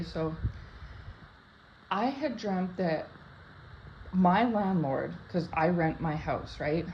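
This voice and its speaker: Woman, low voice, a touch raspy from sleep, chatty spiritual vlog talk, dull lo-fi recording. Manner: chatty, rambling, many "like" and "right?" tags. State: slightly raspy from just waking up. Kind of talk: casual spoken dream interpretation to camera. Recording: dull lo-fi sound with faint background hiss.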